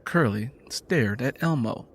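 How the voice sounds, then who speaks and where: A man's voice: medium pitch, expressive, slow, slightly rough. A man narrating scripted fiction in a dramatic storytelling style.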